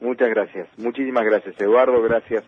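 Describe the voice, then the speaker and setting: A man's voice: medium pitch, steady tone, brisk, husky. An Argentine man hosting a radio show, chatting casually and effusively with compliments and thanks. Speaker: radio host. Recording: dull, lo-fi remote link.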